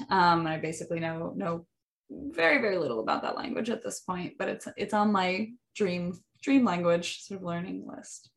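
A woman with a Midwestern American accent talks through an educational topic at a middle pitch. She speaks thoughtfully, often pausing on ums and restarting her sentences as she works out her points. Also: a bit bright. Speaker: podcast host. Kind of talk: educational monologue.

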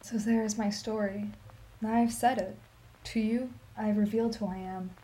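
An actress playing a sorrowful, dignified old Armenian woman in a radio play, telling her story in a solemn, measured, emphatic way. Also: mid-range voice, fairly even intonation, mellow, lightly acted, rough recording. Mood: resolute, forgiving.

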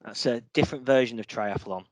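A man's voice: medium pitch, brisk, darkish tone, no echo, low-quality recording. A male podcast host, upbeat, thanking guests and moving the conversation along. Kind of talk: casual hosting.

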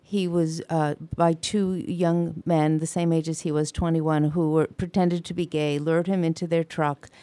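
An older woman guest on a radio talk show recounts a story in an earnest, loud voice. Her tone is mellow and slightly low. The sound is close and dry. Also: level tone, measured pace, few pauses, no echo. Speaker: guest author. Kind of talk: radio interview answers.

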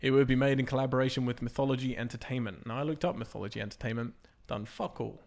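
A man hosting a casual movie news chat, playful and rambling, quick to joke. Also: mid-range voice, steady tone, vocal fry, a little mellow. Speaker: host.

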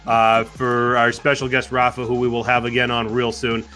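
A man in his forties, a podcast host, signing off in a relaxed, chatty way with many fillers. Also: medium pitch, moderate pace, faint music behind. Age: 40s. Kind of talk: casual podcast sign-off.

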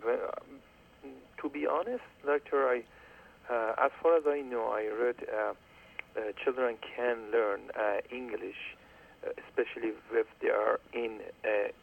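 A man's voice: medium pitch, vocal fry, slight background noise. Hesitant male caller on a phone line. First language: Persian. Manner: halting, many hesitations, polite.

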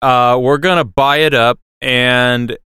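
A man talks casually as a podcast co-host. His voice is bright and exceptionally smooth and clean, at an ordinary middle pitch. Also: steady tone, slow, dry recording, clean studio sound. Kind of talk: casual chat.

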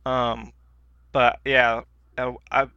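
A man's voice: mid-range voice, flat delivery, very slow, pushed and bright, clear, resonant tone, dry recording, muffled recording. A man co-hosting a podcast in casual, informal chat with slangy wording. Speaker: podcast co-host.

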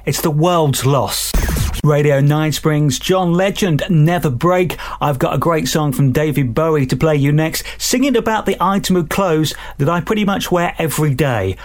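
Male local radio DJ, slightly high pitch, chatty links with dry self-deprecating humour. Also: normal speed, faint music behind. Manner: chatty, lightly self-deprecating, tongue-in-cheek.

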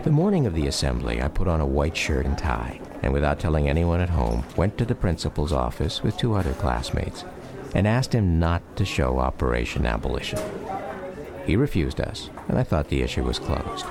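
An American man giving a measured, reflective first-person narration for a radio documentary drama. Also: a bass voice, big pitch swings, vocal fry, background noise.

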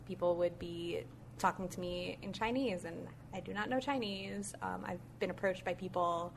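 A woman's voice: fairly low pitch, slight background noise. A young adult woman from Malaysia and the US, a guest chatting casually on a podcast with fillers and light laughs. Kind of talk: casual chat.

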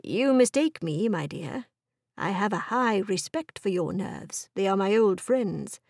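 A woman voicing a dry, sardonic middle-aged husband in a period novel audiobook, teasing in a measured, deadpan way. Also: medium pitch, gravelly, dry recording. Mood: mock solemn.

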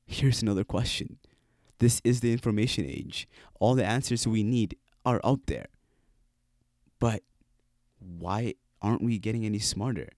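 A man's voice: deep voice, a little mellow, no room sound, studio quality. A man who speaks Somali as his first language hosts a solo podcast, talking casually and playfully and asking many rhetorical questions. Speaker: podcast host. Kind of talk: solo reflective podcast monologue.

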